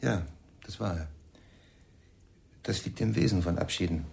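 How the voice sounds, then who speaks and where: An actor playing a guilty, self-controlled husband in a radio crime drama, terse and restrained in delivery. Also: male voice, low-pitched, a little slow, vocal fry, a little mellow. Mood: curt.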